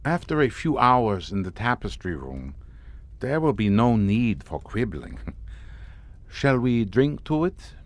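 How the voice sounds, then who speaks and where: An actor playing a suave, sinister aristocratic count in a radio suspense drama, speaking in a formal, courtly, deliberate way. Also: male voice, on the low side, very animated, dry recording. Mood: commanding, smooth.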